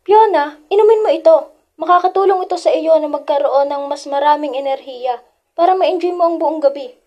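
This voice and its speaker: A woman's voice: high voice, fairly quick, mellow, dull audio, lightly acted. A woman playing a bold, goading teenage schoolgirl who leads friends astray in an amateur radio drama. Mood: coaxing.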